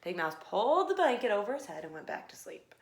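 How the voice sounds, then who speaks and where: A woman narrating a children's picture book expressively, with dramatic pauses and hushed phrases. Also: medium pitch, big pitch swings. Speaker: storybook reader. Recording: faint background noise.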